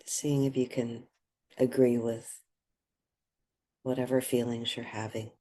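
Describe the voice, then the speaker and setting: Meditation guide, gentle delivery, slow pace, breathy soft tone, soothing. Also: medium pitch, little pitch movement. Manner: soothing, gentle, unhurried with pauses.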